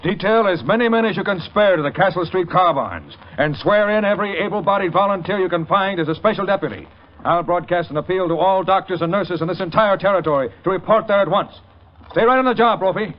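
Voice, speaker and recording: An actor playing a harried, impatient police commissioner in an old radio drama, brusque and sarcastic. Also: male voice, high-pitched, quick pace, dull audio, natural voice. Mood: urgent, brusque. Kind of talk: 1940s radio acting.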